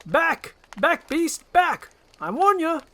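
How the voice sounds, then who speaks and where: A man's voice: very high-pitched, very animated, slow, pushed and bright, voice pushed up, exaggerated voice. A man voicing a jittery, blustering village man in a storybook reading. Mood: frightened, defiant. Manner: alarmed, blustering.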